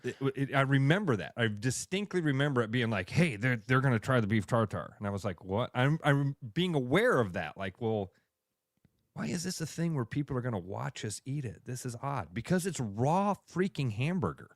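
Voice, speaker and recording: A man's voice: medium pitch, lively intonation, moderate pace, dry recording. A man co-hosting a radio show, joking and telling stories in a studio. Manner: joking, storytelling. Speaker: radio co-host. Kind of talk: casual chat.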